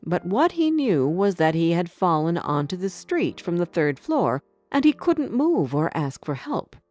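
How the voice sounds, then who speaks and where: A woman with a fairly low voice reads a children's fairy tale. Her storytelling has a very animated melody, and soft music plays behind her. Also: measured pace. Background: background music.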